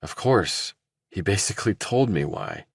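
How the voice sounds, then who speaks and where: A man's voice: low voice, wildly swooping pitch, unhurried, creaky, slightly rough, dry recording, clean studio sound, heavily acted. A man voicing a defensive, desperate young murder suspect in an audiobook, earnest, blunt and insistent. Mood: wary, dismayed. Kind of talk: audiobook character dialogue.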